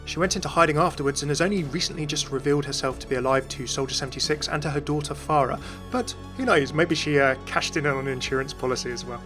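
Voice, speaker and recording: A man's voice: a little high, fast, few pauses, faint music behind. A British man hosting a video, reading scripted game commentary and narration. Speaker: video host.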